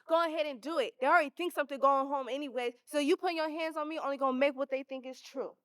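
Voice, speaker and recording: A woman's voice: high voice, fairly even intonation, fast talker, fairly bright tone, no echo, clean studio sound. A woman playing a sullen, defiant sixteen-year-old schoolgirl in a stage play, speaking curtly. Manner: curt, defiant.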